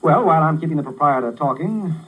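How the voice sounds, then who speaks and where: An actor playing a wry, tolerant struggling writer, narrating and talking in a dry conversational way in a 1950s radio drama. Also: male voice, fairly high pitch, lively intonation, fast talker, narrow, tinny audio. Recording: old 1950s radio broadcast.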